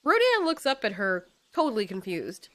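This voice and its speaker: A woman narrating a scripted story in an expressive, storytelling style. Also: medium pitch, normal speed.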